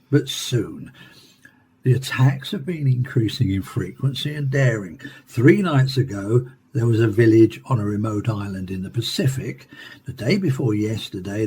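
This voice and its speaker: A man plays an academic expert in a science fiction radio play. His voice is mellow and mid-pitched, and he explains things in a formal, deliberate way. There is faint background noise around him. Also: lightly acted. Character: measured male Cambridge professor explaining alien attacks.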